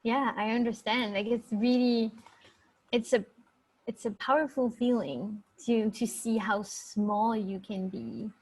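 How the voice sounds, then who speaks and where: A woman's voice: fairly high pitch, fairly even intonation, measured pace, darkish tone, husky, poor audio. A woman with a French accent, chatting casually in an interview, hesitant and full of fillers, laughing easily. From France. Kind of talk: casual video-call interview.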